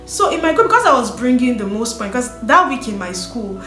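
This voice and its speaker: A woman telling her own life story in an earnest, animated way. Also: a little high, fairly quick. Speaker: vlogger sharing her story. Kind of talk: personal storytelling. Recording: echoey room with faint music.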